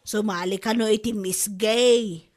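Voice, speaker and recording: A woman's voice: medium pitch, fairly even intonation, measured pace, a little mellow, soft background music, natural voice. A woman with an Ilocano accent playing a nagging woman of the house in a comedy drama. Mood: wistful. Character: bossy woman of the house, dreamy about pageants.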